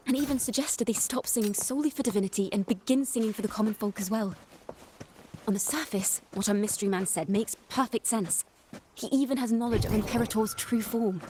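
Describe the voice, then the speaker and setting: A woman playing a thoughtful, earnest young traveling companion in a video game, speaking in a lyrical, measured way. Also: medium pitch, dark tone, slight background noise, close to an everyday voice. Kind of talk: scripted video game dialogue.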